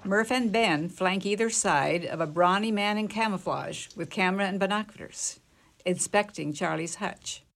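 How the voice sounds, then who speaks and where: A woman's voice: medium pitch, moderate pace, vocal fry, a bit bright. Woman narrating radio play scenes, music behind. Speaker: narrator reading stage directions.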